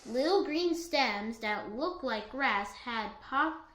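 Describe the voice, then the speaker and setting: A woman co-reading a children's picture book aloud in an expressive, emphatic way. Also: a little high, slow pace, reverberant. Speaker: co-reader of a children's story.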